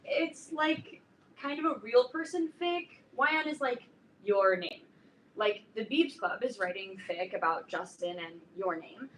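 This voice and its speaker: A woman in a staged reading playing an opinionated, excitable teenage fan club girl, chatty and emphatic. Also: fairly high pitch, measured pace. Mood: scornful, animated. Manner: chatty, emphatic, peppered with like and literally. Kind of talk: staged reading of a play, naturalistic dialogue. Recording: roomy, distant mic, faint noise.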